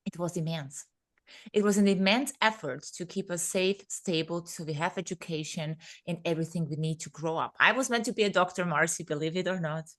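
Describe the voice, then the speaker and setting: A woman's voice: on the low side, fairly quick, fairly bright tone. Woman in her thirties telling personal stories. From Vienna, Austria, born in Serbia. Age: 30s. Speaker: podcast guest, speaker and coach. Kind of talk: conversational personal storytelling.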